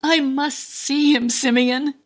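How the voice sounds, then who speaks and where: A woman voicing a devout, stubborn old woman in a dramatic reading, husky and breathy, urgent yet wryly affectionate. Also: high voice, fairly even intonation, takes it slowly, dry recording, voice pushed up.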